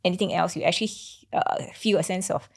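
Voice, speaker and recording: A woman's voice: fairly low pitch, steady tone, fast talker, creaky. A woman with a Singaporean accent, explaining ideas conversationally as a podcast co-host. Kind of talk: casual explanatory chat.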